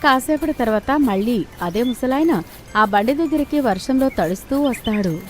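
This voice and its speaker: A woman's voice: a little high, lively intonation, normal speed, faint music behind. A woman storyteller giving scripted narration for a children's cartoon tale.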